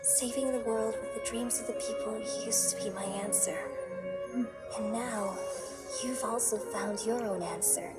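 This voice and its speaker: A woman's voice: fairly high pitch, steady tone, a little slow, natural voice. A woman voicing a serene, gracious ruler in a game cutscene, speaking in a solemn, formal way. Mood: solemn resolve. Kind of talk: video game cutscene acting. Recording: loud music under the voice.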